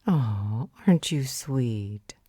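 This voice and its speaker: A woman's voice: rumbling low, expressive, drawn-out words, mellow, breathy edge, no echo, studio quality, exaggerated voice. A woman voicing a cool, sardonic character in an audio roleplay, with a teasing, dismissive manner.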